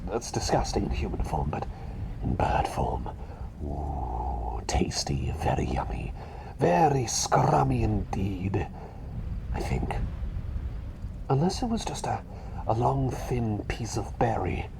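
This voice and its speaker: A man voicing a kindly, scatterbrained bird doctor in an ASMR roleplay, rambling soothingly between soft talk and breathy whispers. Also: medium pitch, noisy background. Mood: excited, whimsical, then savouring, settling down. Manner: rambling, soothing, whimsical, often dropping to a whisper. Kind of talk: ASMR roleplay, personal attention.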